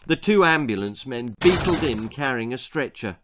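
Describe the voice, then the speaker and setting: A man in his sixties reading a children's story aloud in an expressive, theatrical way. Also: medium pitch, normal speed, background noise. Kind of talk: dramatic children's story narration. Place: small book-lined room indoors.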